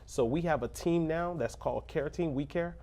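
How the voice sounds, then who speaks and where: A man who grew up in a rough neighborhood, speaking as a pastor in a casual interview, earnest and preacherly, breaking into laughs and jokes. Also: a little high, normal speed, mellow. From Little Haiti, Miami.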